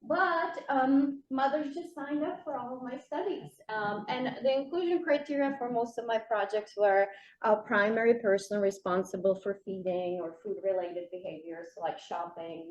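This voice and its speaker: A middle-aged woman from the Czech Republic with a Czech accent, a nutrition professor giving a keynote lecture in a lecture room, talking with many fillers and light asides. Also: a little high. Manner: many fillers, warm self-deprecating asides. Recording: dull lo-fi sound.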